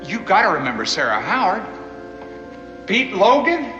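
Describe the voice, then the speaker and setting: A man's voice: sits high, wildly swooping pitch, normal speed, highs cut off, over music. An actor playing an earnest frontiersman who pleads and then turns angry. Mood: pleading. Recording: echoey old TV soundtrack with score.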